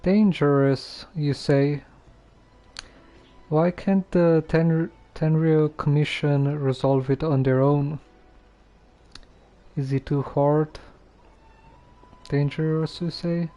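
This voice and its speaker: A man casually live streaming a game, reading dialogue aloud and commenting in a halting, musing way. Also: on the high side, fairly even intonation, warm tone. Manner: halting, musing, repeats phrases.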